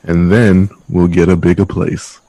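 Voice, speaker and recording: A man's voice: low voice, flat delivery, unhurried, mellow, poor audio. A man playing a big, affectionate, hopeful working man in an audio drama. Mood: playful, hopeful.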